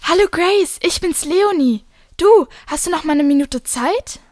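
A woman's voice: extremely high pitch, animated, a little slow, a little mellow. A woman playing an inquisitive, determined young girl in a children's audio drama, earnest and questioning. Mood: urgent.

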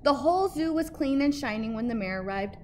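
A woman in her thirties reading a picture book aloud expressively and emphatically, like a teacher, pausing to ask questions. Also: high voice, expressive. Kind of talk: expressive children's storybook read-aloud. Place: outdoors by a brick building entrance. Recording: faint outdoor background noise.

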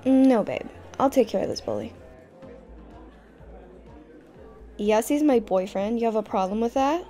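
A woman's voice: fairly high pitch, brisk, warm tone, faint music behind, close to an everyday voice. A woman playing a tough, fiercely protective young girlfriend in an audio roleplay, speaking directly to the listener. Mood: defiant, confrontational.

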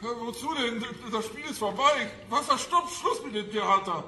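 Radio crime drama: trapped sarcastic captive, piercing high voice, agitated, echoey room. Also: male voice, lively intonation, normal speed. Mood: mocking. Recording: echoey room with faint noise.

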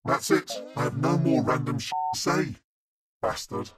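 A man's voice: mid-range voice, animated, moderate pace, over music. A man voicing an angry, foul-mouthed celebrity chef in a mobile game, barking short insults and orders through a demonic voice effect. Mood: angry, scolding. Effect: demonic voice filter. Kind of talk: mobile game character voice lines.

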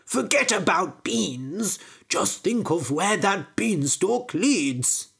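A woman voicing a foolish, bossy old father who sounds high-pitched and excited and draws his words out slowly. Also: gravelly, breathy edge, exaggerated voice. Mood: excited, scornful.

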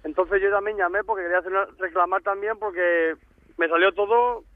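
A man's voice: high-pitched, fairly even intonation, fairly quick, dry recording. A young man with an accent from Spain, talking casually over a thin telephone line. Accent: Spanish, from Spain. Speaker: prank call victim. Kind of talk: casual phone conversation.

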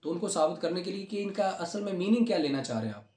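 High-pitched Pakistani man lecturing in echoey room. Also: lively intonation, fast, a little mellow. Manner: explanatory, repeats key points, mixes in English legal terms. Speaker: law lecturer. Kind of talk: classroom lecture with questions and explanations. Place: small room at a whiteboard, indoors. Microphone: camera a few steps away. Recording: roomy echoing indoor recording.

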